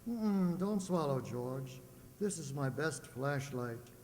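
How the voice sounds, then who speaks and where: An actor playing a wry, teasing family doctor in an old-time radio comedy. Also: male voice, medium pitch, lively intonation, slow pace, darkish tone. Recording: echoey hall, faint hum.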